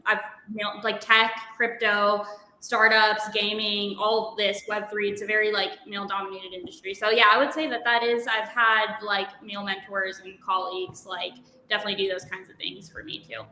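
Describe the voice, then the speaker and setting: A woman with a bright, sharp voice speaks casually and hesitantly, with many fillers. The room has an echo, and faint noise sits behind her. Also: mid-range voice, flat delivery, normal speed. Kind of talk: casual roundtable discussion.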